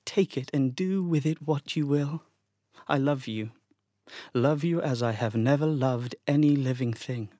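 Man acting an earnest low-voiced devoted lover. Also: unhurried, dark tone, dry recording, studio quality, close to an everyday voice. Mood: ardent, pleading. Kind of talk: dramatic monologue.

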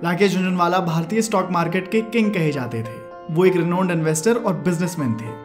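A man's voice: high-pitched, a little fast. Male narrator over prominent music. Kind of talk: scripted biographical narration. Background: instrumental music.

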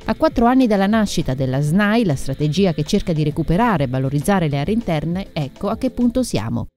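A woman's voice: on the low side, big pitch swings, brisk, dark tone. A woman reporter reading scripted narration for a news report. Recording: music behind narration. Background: background music.

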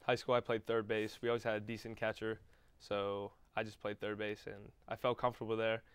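A man's voice: on the low side, little pitch movement, brisk, clear, resonant tone, no room sound. A young man who plays college baseball, giving plain, modest interview answers. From Pennsylvania. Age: young adult.

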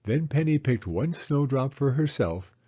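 Mellow man reading a children's story. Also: mid-range voice, lively intonation, a little slow, husky, no room sound, highs cut off. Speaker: storybook reader. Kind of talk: children's story read-aloud narration.

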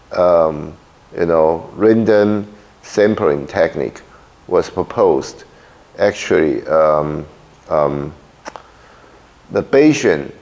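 Halting, low-voiced Taiwanese lecturer. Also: male voice, a little mellow. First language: Mandarin. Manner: halting, many fillers and "okay?" checks. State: slightly hoarse after illness. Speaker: university lecturer. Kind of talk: classroom lecture. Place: large lecture hall with projector. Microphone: handheld microphone.

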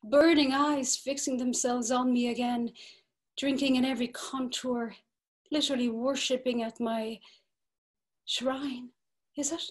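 A woman's voice: high-pitched, steady tone, a little slow, pauses often. A woman voicing a romantic young Irish woman in a dramatic reading over a video call, dreamy and at times whispering. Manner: breathy, dreamy, sometimes whispered.

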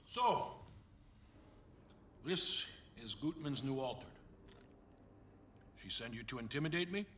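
An actor playing a volatile, bullying warlord in a video game, commanding and impatient. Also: male voice, mid-range voice, animated, slow pace, fairly bright tone, slight background noise. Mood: menacing, impatient. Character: volatile, bullying male warlord of a war camp. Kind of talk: dramatic video game cutscene acting.

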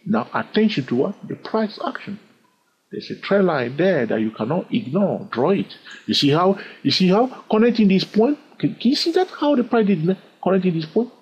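A man teaching a chart lesson over his screen, animated and full of rhetorical questions, chuckling now and then. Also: high-pitched, moderate pace, a little hiss or hum. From lives in London. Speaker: trading educator. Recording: dull lo-fi sound.

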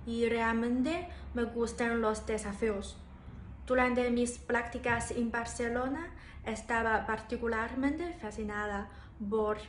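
A young woman from China with a slightly high voice, a native Chinese speaker, reads her prepared application statement to the camera. She speaks carefully and formally. The small bare room gives an echoey sound with faint ambience behind her. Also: a little hiss or hum. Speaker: master's applicant. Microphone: phone or camera in front, head and shoulders framing.

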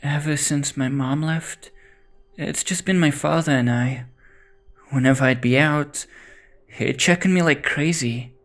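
A man's voice: on the high side, measured pace, soft background music, voice pushed up. A young man playing an earnest, anxious college-age son in an audio drama. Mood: worried. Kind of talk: amateur audio drama acting.

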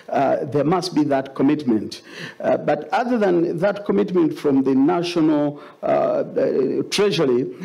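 A man in his fifties speaks with a Ugandan accent as a panelist at a conference. His voice sits a little high for a man, soft-toned and mellow, with plenty of creak. He explains hesitantly, often repeating a word before moving on. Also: poor audio. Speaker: company board chairman on a panel. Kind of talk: panel discussion, explanatory answers. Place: conference stage, indoors. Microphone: gooseneck table microphone.

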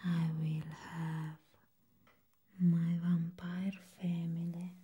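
Woman acting a slow whispering vampire nurse. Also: on the low side, level tone, warm tone, heavily acted. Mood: menacing, hypnotic. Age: young adult. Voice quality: breathy whisper.

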